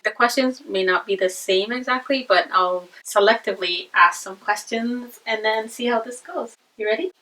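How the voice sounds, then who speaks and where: A woman's voice: fairly high pitch, moderate pace, few pauses, bright, forceful tone. Giggly young woman from Ethiopia. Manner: giggly, laughs often. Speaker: host. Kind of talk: casual chat. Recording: voice from a distance, faint music behind.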